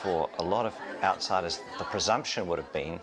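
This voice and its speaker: A man's voice: deep voice, fairly quick, over a noisy crowd, very noisy. A male interviewer asking probing, curious questions.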